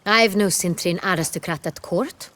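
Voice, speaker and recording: A woman playing an imperious noble duchess in a video game, formal and commanding, quick to threaten. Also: lowish voice, fairly bright tone, dry recording, close to an everyday voice. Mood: cold, disappointed. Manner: formal, commanding, royal diction. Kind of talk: dramatic video game acting.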